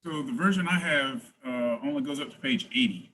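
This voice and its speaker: A man's voice: medium pitch, a little fast, bright, forceful tone, in a large hall, rough recording. A man taking part in a script read over a video call, talking casually. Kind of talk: casual meeting talk. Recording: faint background noise, clicks, video call.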